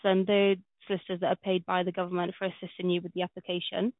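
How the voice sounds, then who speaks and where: A woman from Wales whose first language is Welsh, briskly explaining legal terms on a phone call and starting her sentences with "so". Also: on the low side, level tone, dry recording. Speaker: legal firm adviser. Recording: dry, narrow phone line.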